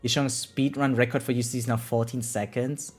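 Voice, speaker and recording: A male game streamer talks off the cuff to his chat over background music. He rambles and repeats himself, using plenty of slang and swearing. His pitch sits in a middle range, and he often ends a thought with a quick questioning tag. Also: little pitch movement. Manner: rambling, repetitive, slangy and profane; frequently tags sentences with "right?". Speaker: variety game live streamer. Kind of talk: casual chat with live stream viewers.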